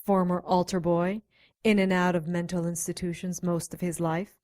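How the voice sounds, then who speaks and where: A woman's voice: lowish voice, level tone, moderate pace, a little mellow, natural voice. A woman playing a matter-of-fact investigator giving a briefing in an audio drama.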